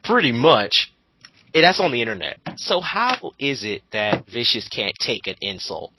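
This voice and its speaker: A man's voice: mid-range voice, big pitch swings, unhurried, noisy background. A 22-year-old man giving a casual, sweary rant as a commentator, abrasive and mocking.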